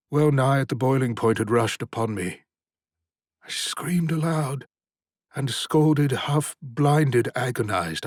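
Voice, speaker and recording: A man's voice: mid-range voice, dry recording, clean studio sound. A man narrating a classic novel as an audiobook, intense and dramatic, climbing to urgent peaks in the action scenes.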